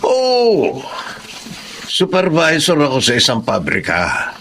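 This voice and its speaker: A creaky, kindly older Filipino supervisor. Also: male voice, fairly high pitch, huge pitch swings, takes it slowly, background noise, heavily acted. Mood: generous. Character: kindly, helpful older male factory supervisor. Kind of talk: Tagalog radio-style drama acting.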